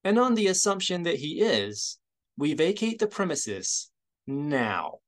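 A man's voice: a little high, sing-song, unhurried, voice pushed up. A man voicing a brash, shrewd woman hustler in an audiobook, cocky and wry as she bargains. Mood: confident.

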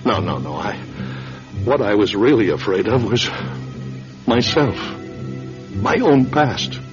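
A man playing a guilt-ridden, middle-aged American political candidate in a classic radio drama, speaking in a halting, confessional way. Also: lowish voice, sing-song, music in the background. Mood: confessional, haunted. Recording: dull lo-fi radio recording.

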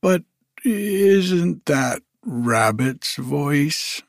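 A man voicing a slow, simple, cheerful male bear who hums in a sing-song way as he reads a storybook aloud. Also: a little high, animated, gravelly, no room sound, studio quality, pitched above the performer's own voice, heavily acted. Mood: puzzled. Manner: slow, sing-song humming, puzzled musing.

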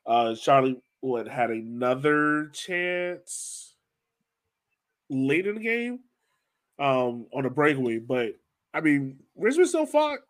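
A man's voice: mid-range voice, very animated, slow. Casual man hosting a soccer podcast. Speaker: podcast host.

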